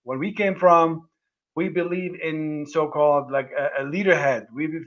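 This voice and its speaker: A man hosting a livestream conversation, talking haltingly with many restarts as he searches for words. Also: fairly high pitch, fairly even intonation, lo-fi sound. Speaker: host.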